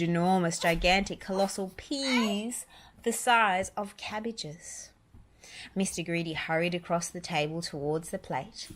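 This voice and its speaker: A woman reading a children's story aloud, deliberate and emphatic on repeated descriptive words. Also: medium pitch, a little hiss or hum. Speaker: storybook reader, parent.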